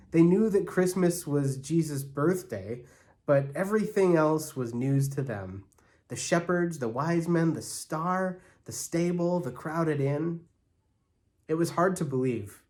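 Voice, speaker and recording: A man reading a children's story aloud in an animated, expressive way, as a church storyteller. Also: on the high side. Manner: expressive, acts out characters' lines.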